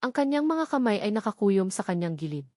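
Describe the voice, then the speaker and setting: A woman narrating a dramatic story script in an even, measured reading with short, punchy sentences. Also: medium pitch, dry recording, clean studio sound.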